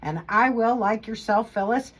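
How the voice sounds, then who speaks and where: A woman's voice: on the high side, moderate pace. A woman from Montana running for Congress, speaking enthusiastically and laughing easily in a radio studio interview. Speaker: congressional candidate.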